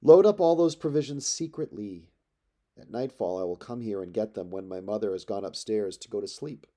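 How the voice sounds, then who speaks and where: A man's voice: lowish voice, animated, moderate pace, warm tone. A man voicing a resolute young prince, earnest and commanding, in an audiobook of an epic. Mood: urgent, instructing.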